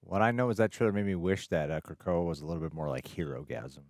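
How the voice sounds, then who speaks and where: A man's voice: low-pitched, fast, darkish tone, no room sound. A man co-hosting a reaction show, joking and exclaiming as he reacts to what he sees. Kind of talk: casual reaction chat.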